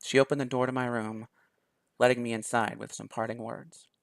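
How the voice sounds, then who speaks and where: A man quietly reading a visual novel aloud in first person, soft-spoken. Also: mid-range voice, level tone, a little fast, vocal fry, no room sound, clean studio sound. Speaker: video game YouTuber.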